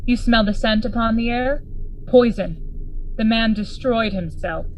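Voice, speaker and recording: A woman's voice: on the high side, unhurried, a little mellow, slight background noise, natural voice, rough recording. A woman acting a grave, commanding Victorian friend investigating a horror in a gothic radio drama, formal and urgent in manner. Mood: grim, puzzled.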